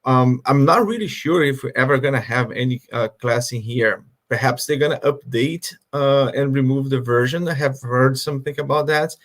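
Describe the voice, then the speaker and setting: A man's voice: mid-range voice, normal speed, hardly any pauses, a bit bright. Man presenting slides on a call. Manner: hesitant, filler-heavy explaining. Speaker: guest speaker. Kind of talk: online conference presentation.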